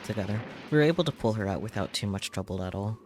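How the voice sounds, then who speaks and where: A man's voice: on the low side, animated, fast, noisy background. A man reading a visual novel's script aloud as a streamer, in a dramatic storytelling style. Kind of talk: dramatic read-aloud narration of a game script.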